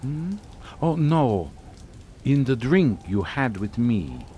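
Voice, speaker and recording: An actor playing a menacing, scornful older Hungarian count in a radio suspense drama, speaking in a formal, taunting, theatrical manner. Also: male voice, medium pitch, very animated, takes it slowly, mellow, a little hiss or hum. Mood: coolly menacing.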